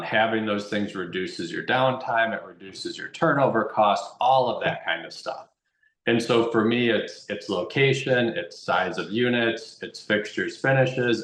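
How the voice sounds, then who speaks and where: A man's voice: on the low side, a bit bright. A man explaining things informally as a guest expert, repeating phrases for emphasis. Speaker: guest real estate investment expert. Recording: roomy, echoey sound.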